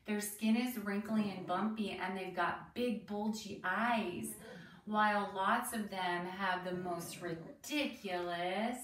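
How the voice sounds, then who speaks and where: A woman reading a children's book aloud like a teacher, expressive and deliberate, pausing for asides and questions to young listeners. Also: medium pitch. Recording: roomy, distant mic with faint noise.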